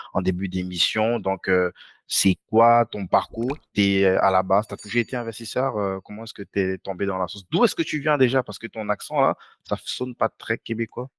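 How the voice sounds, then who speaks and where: A man hosting an interview in a conversational way, hesitant, often pausing in mid-sentence. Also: low-pitched, no echo. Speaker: podcast host.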